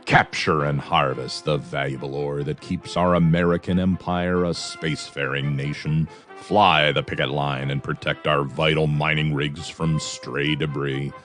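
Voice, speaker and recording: A man's voice: extremely low pitch, steady tone, measured pace, few pauses, a bit bright. A man reading a scripted recruitment advert as a promotional announcer. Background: faint music.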